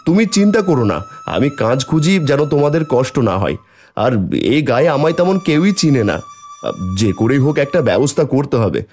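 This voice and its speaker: A man voicing an honest, worried village family man in a cartoon, earnest and expressive. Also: fairly high pitch, brisk, faint music behind. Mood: reluctant. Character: honest, worried poor village husband and father. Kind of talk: cartoon voice acting.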